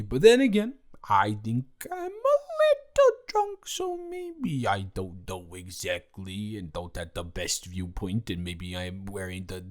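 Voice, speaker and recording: A man's voice: medium pitch, a little mellow. Man acting a tipsy, swoopy-voiced young college roommate. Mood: silly, playful. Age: young adult. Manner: rambling, stammering drunken speech with giggles. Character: tipsy, giddy, goofy young male college student roommate. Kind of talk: improvised audio roleplay addressed to the listener.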